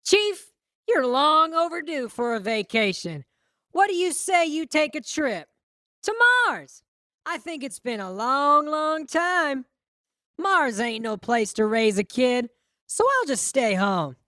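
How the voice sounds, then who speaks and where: A woman voicing a vengeful, gleefully cruel boy in an audiobook, with a taunting, drawn-out delivery. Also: high-pitched, sing-song, fairly bright tone, no echo, studio quality, exaggerated voice. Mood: gleeful mockery. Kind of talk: audiobook character acting.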